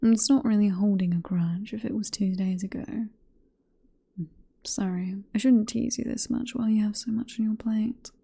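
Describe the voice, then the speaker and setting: A very dark, soft British female voice, breathy and husky, whispering close to the microphone. It is a sly, possessive vampire professor acted by a woman in an ASMR roleplay. She coaxes and teases in an unhurried murmur. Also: medium pitch, fairly even intonation. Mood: teasing, reassuring. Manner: coaxing, teasing, unhurried murmur.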